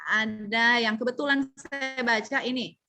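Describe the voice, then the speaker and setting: A woman's voice: fairly high pitch, fairly even intonation, a little slow, bright, forceful tone, faint music behind. A woman moderating a webinar Q&A over a video call, upbeat, calling on askers by name.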